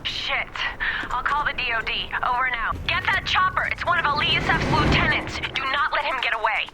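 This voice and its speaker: A woman's voice: high-pitched, expressive, fairly quick, loud music behind the voice, poor audio. A woman playing an urgent, commanding mission controller in a video game, in clipped, authoritative military radio talk. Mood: urgent, alarmed. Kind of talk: radio dispatch in game cutscene.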